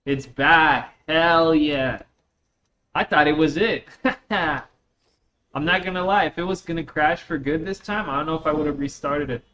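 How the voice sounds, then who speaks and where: A man's voice: a little high, normal speed, sharp tone. A man with a slight Russian accent, chatting casually and in slang as he streams a game to viewers. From Russia, moved to the United States at eleven. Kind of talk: casual live-stream chat while gaming. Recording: dull lo-fi mic with faint noise.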